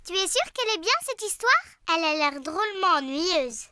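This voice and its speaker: A performer voicing a lively, curious little girl pig in a French children's cartoon, with a childlike manner. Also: extremely high pitch, normal speed. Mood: inquisitive. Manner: inquisitive, childlike.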